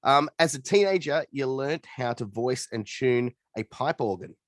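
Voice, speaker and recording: A man's voice: mid-range voice, normal speed, no room sound. A man with a mid-range voice works as an interviewer. He speaks conversationally, guiding a guest through their story, and the sound is close and dry with little room. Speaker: interviewer, podcast host.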